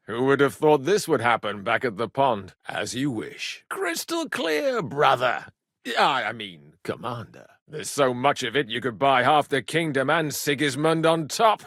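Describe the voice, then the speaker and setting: A rough medieval sellsword for a video game. His pitch is middling but swings widely as he talks. He is blunt, wry and earthy, and the recording is dry and close. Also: big pitch swings, unhurried, fairly bright tone, no room sound, exaggerated voice. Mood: wry, matter-of-fact. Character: gruff, sardonic, hard-drinking medieval mercenary man. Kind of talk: video game character dialogue.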